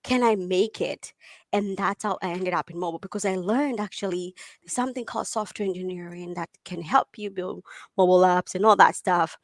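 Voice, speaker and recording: A woman whose first language is Swahili, a tech founder answering interview questions in a lively way, laughing often and restarting sentences. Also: fairly low pitch, a little fast, no room sound, studio quality. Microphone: video call.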